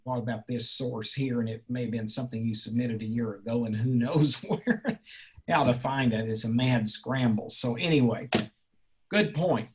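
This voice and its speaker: A male college instructor talking informally with a class in a halting, rambling, encouraging way. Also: mid-range voice, husky. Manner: encouraging, reassuring. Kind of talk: informal class question-and-answer.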